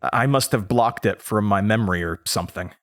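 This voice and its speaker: A man playing a nervous young man from a small Midwestern town, recounting his past in a halting, conversational audio drama monologue. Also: on the low side, a bit bright, no room sound, clean studio sound, close to an everyday voice. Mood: reflective. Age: young adult. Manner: hesitant, stammering, rambling. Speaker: audio drama lead character.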